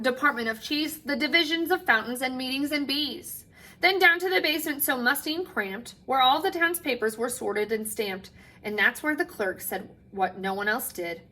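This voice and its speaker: A woman teacher reading a picture book aloud to children with expressive delivery, dramatic pauses and drawn-out phrase endings. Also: high voice.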